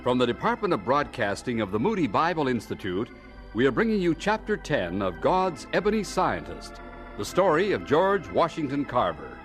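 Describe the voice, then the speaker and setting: A man acting as the measured narrator and announcer of a scripted radio drama, asking questions to lead the story along. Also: medium pitch, big pitch swings, soft background music, lightly acted.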